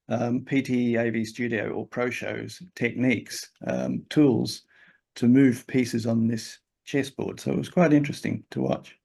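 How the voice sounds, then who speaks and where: Give me a gravelly man steadily announcing exhibition acceptances. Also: mid-range voice. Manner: plain, steady, reads titles and adds short asides. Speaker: exhibition host. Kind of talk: announcing results.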